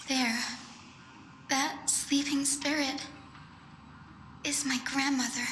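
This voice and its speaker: Casting: gentle young healer for fantasy game, tender and caring, higher register, slight room sound. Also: female voice, little pitch movement, takes it slowly, slight background noise. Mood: solemn, wistful. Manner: soft, tender, reassuring. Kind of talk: video game story voice acting. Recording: slightly roomy, music underneath.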